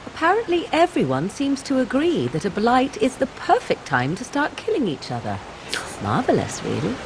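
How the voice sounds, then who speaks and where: A woman's voice: a little high, wildly swooping pitch, moderate pace, background noise. An actress playing a scornful young witch in a fantasy game, sarcastic and haughty. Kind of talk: fantasy video game acting.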